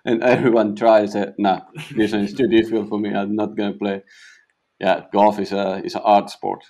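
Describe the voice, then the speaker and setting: A young Italian man with a slight South African tinge, a pro golfer answering interview questions in halting English that repeats words. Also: on the low side, level tone, gravelly, warm tone. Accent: Italian, with a touch of South African. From Italy.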